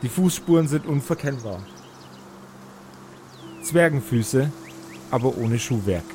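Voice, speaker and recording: A man narrating an improvised tabletop fantasy game as game master, in halting, deliberate phrases. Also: medium pitch, animated, mellow, slight background noise. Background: background music.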